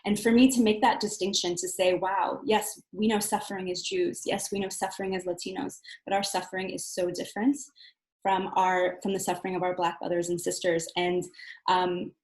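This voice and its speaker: A woman giving a personal account as a panel guest, earnest and reflective, often halting to rephrase herself. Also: lowish voice, little pitch movement, darkish tone. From Southern California, United States. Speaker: panel guest, community coordinator. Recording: roomy, echoey sound.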